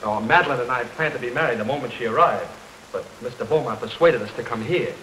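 An actor playing an earnest, polite young bridegroom in an early 1930s film, trusting and clipped in speech. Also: male voice, mid-range voice, fast talker, sharp tone, lightly acted, low-quality recording. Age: young adult. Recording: hissy 1932 film soundtrack, rain behind.

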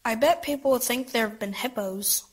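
A man's voice: very high voice, moderate pace, husky. A performer playing a playful, boastful young boy in a dramatic story reading, eager and mischievous.

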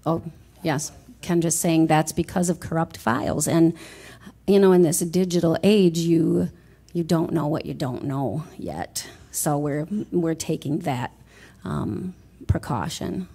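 A woman on a conference panel explaining her department's practices in a measured way. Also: deep voice, gravelly, dark tone, husky. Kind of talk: panel discussion remarks.

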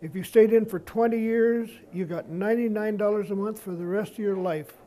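High-pitched, mellow elderly American man. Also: fairly even intonation, brisk, low-quality recording. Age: 70s or older. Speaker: war veteran interviewee. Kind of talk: recollecting in an interview. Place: indoor interview studio. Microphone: lapel mic.

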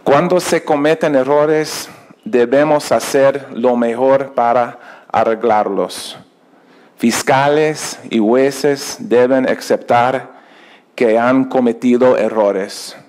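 A middle-aged American man delivers an earnest keynote in careful second-language Spanish. His English accent is plain in his vowels and rhythm. He speaks slowly into a podium microphone in an auditorium. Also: mid-range voice, a little mellow. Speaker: keynote speaker, project director. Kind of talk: prepared speech in a second language.

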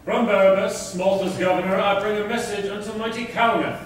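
An actor playing a courteous messenger in a staged verse drama, declaiming formally. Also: male voice, high voice, fairly even intonation, a little fast, close to an everyday voice. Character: courteous male messenger delivering a lord's invitation. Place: large indoor theatre stage. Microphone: far camera. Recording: distant echoey theatre stage, faint noise.

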